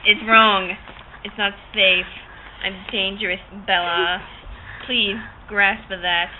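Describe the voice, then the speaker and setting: A woman's voice: mid-range voice, steady tone, slow, lightly acted. A woman voicing a brooding, anguished young man in an overwrought storybook reading. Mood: anguished, urgent. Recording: tinny, band-limited, noisy amateur recording.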